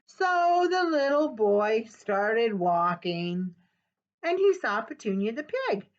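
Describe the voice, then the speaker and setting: An older woman with a fairly high voice reads a children's story slowly and with a sing-song lilt. She sits at a webcam in a small office. The audio sounds dull and muffled. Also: big pitch swings. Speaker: children's librarian. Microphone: webcam at desk.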